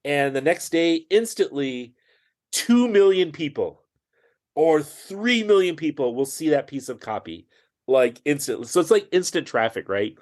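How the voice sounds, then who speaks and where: A man's voice: fairly high pitch, animated, measured pace, a little mellow. A man speaking as a podcast guest in casual conversation, chatty, with frequent fillers and restarts. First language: English.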